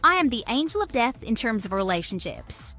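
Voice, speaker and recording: A woman's voice: fairly high pitch, sing-song, brisk, pushed and bright, exaggerated voice. A woman playing a smug, gloating mistress in a radio drama, taunting and boastful in an exaggerated way. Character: smug, gloating mistress who steals other women's men. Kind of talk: scripted radio drama dialogue.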